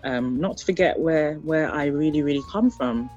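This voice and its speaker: Thoughtful, halting speaker raised in Ghana, music behind. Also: medium pitch, a little mellow, dull audio. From born in Liberia, raised in Ghana, later the Netherlands and UK. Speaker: interview guest. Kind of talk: reflective conversational interview.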